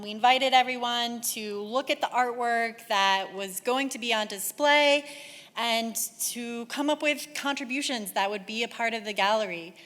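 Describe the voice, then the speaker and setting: A woman moderating a panel, gracious and soft-spoken as she guides the speakers. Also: a little high, in a large hall.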